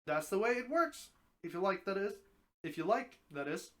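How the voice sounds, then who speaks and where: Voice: mid-range voice, big pitch swings, moderate pace, close to an everyday voice. A reader voicing a talking snake from a story, helpful and wry, explaining things in a matter-of-fact way. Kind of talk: storybook dramatic reading.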